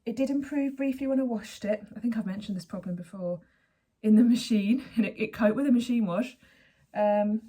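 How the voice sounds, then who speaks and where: Hoarse woman chatting about knitting. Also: fairly high pitch, a little fast, warm tone. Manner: chatty, rambling, with frequent self-corrections. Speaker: knitting podcast host. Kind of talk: casual chat to camera.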